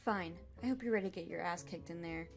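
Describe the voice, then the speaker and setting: A woman's voice: lowish voice, fast, creaky, soft background music. A woman playing a feisty, defiant defendant in an audio drama, sassy and combative.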